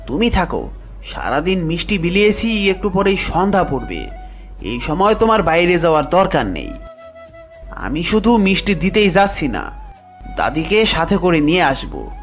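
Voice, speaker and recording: Man acting an earnest, animated village husband. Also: high-pitched. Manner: earnest, emphatic. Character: devoted village husband, emotional father-to-be. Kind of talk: audio story drama acting.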